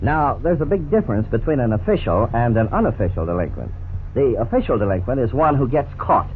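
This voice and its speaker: An actor playing an earnest, authoritative family lawyer who explains things courteously in a 1950s radio drama. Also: male voice, mid-range voice, sing-song, close to an everyday voice. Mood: earnest, instructive. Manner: measured, didactic, courteous. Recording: scratchy, tinny 1950s radio recording.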